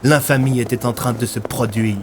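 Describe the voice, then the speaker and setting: A man's voice: mid-range voice, fairly even intonation, moderate pace, background noise. A man narrating a scripted dramatic story in a grave, theatrical way. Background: music.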